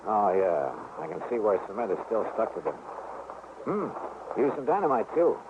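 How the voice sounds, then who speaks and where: An actor playing a sharp, skeptical insurance investigator in a brisk, clipped, wry radio detective style. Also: male voice, lowish voice, big pitch swings. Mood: skeptical, probing. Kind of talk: 1950s radio detective drama acting with first-person narration. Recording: tinny, noisy old radio recording.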